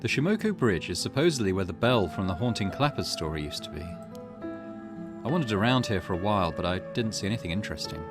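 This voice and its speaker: Low-voiced man doing casual game commentary over music. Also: very animated, quick pace. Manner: casual and chatty, thinking aloud. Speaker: gaming channel host. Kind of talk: let's play commentary, reading game text aloud.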